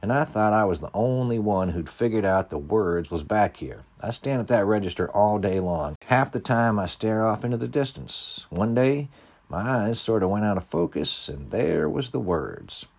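A man's voice: on the low side, lively intonation, moderate pace, vocal fry, a little mellow, voice pushed up. A man voicing a kind, folksy woman cashier in an audiobook story, speaking with homespun turns of phrase. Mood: warm, wondering.

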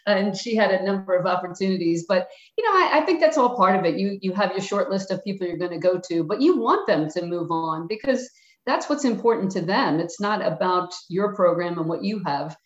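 A woman's voice: medium pitch, lively intonation, a little fast. Older Philly woman, frank retired coach; roomy, muffled sound. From Philadelphia. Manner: frank, plainspoken, acts out quotes of herself and players. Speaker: retired basketball coach, guest. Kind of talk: reflective interview answers. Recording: echoey, dull-sounding room.

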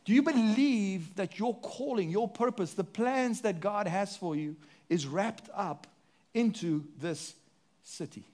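A man with a South African accent preaching a sermon to his congregation, asking the room questions and swinging between emphatic and hushed delivery. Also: high-pitched, animated, takes it slowly. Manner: preaching with sweeping rises and drops, rhetorical questions. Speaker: pastor.

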